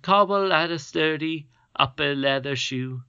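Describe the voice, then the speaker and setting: Little goblin cobbler voiced by a woman, sing-song, bright, storybook. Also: low voice, a little slow, clear, resonant tone, dry recording, muffled recording, heavily acted.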